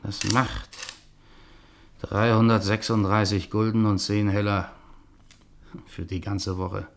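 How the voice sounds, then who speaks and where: An actor playing the irritable, theatrical head of a touring troupe in a German radio drama, blustering and declamatory. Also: male voice, on the low side, level tone, slow pace, gravelly. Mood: worried, businesslike.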